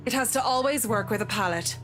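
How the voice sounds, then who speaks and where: A formal young woman designer from a visual novel game, voiced by a woman reader. She is courteous and measured, in a fairly high voice, with clear music playing behind. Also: close to an everyday voice. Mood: polite, assured. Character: proper, deferential young female interior designer.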